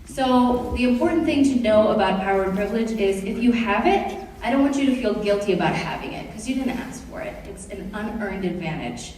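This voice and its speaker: A middle-aged woman from Kenya giving a lively classroom presentation to high school students, joking and asking the audience questions. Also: a little fast, hardly any pauses, a little hiss or hum. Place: large room or hall. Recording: echoey hall, voice from far off.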